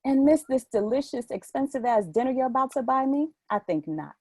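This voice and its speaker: A woman acts out a scheming young woman in a stage play reading. She is sassy and mock-sweet, with a husky edge and very animated pitch in a close, dry sound. She taunts as if she holds all the cards. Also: brisk, no echo, exaggerated voice. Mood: taunting, smug. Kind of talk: readers theatre play reading.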